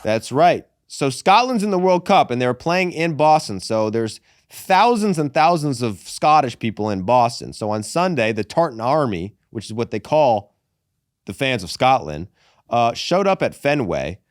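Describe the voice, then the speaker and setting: A young man hosting a casual sports podcast, animated and profane, calling people "brother" and "dude". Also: mid-range voice, moderate pace, dry recording, studio quality. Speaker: podcast host.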